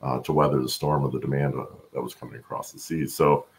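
A middle-aged man with a gravelly voice, explaining things conversationally as an interview guest on a video call, thinking aloud as he goes. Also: very deep voice, flat delivery, fast talker. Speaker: guest, supply chain expert. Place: home room, on a video call.

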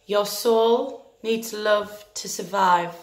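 A woman leading a guided meditation in a gentle, soothing, deliberate manner, leaving long pauses between phrases. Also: mid-range voice. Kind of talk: guided meditation, scripted narration. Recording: roomy echo with faint music behind.

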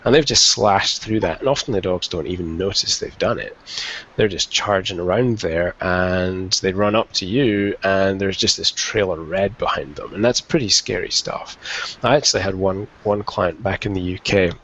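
Gravelly man presenting a webinar. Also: low voice, normal speed, a bit bright, a little hiss or hum. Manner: chatty and informal, many ums and restarts. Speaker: veterinarian presenter. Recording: dull lo-fi webinar audio.

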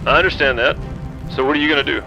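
A man's voice: a little high, fairly even intonation, very fast, gravelly, dull audio, over music. A man playing a dry, teasing boss who pays for investigations, in an audio drama. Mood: dry banter.

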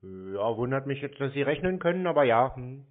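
A man chatting casually on a phone call in a deadpan, dryly sceptical way. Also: mid-range voice, moderate pace. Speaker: scam-baiter taking the call. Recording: narrow-band phone call.

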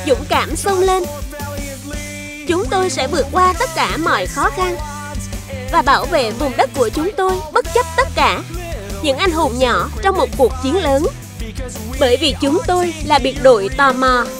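A woman's voice: high voice, expressive, moderate pace. A woman voicing a brave, eager young boy who leads a hero team in a cartoon dub. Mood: bold, rallying. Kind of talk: cartoon dubbing. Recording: loud music under the voice.

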